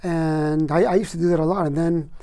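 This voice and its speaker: A man's voice: a little high, steady tone, brisk, dark tone. Wry middle-aged man, from Cuba. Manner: wry, joking, easygoing. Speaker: guest poet. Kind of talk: interview answers.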